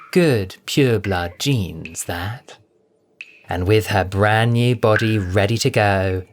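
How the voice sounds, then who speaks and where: A man narrating a scripted audio story in an expressive, arch, dramatic style. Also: fairly low pitch, a little hiss or hum. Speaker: narrator and voice performer.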